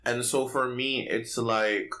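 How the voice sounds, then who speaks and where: A man's voice: medium pitch, steady tone, slow, pushed and bright. Man, podcast host, casual opinionated chat, sassy, slangy, emphatic.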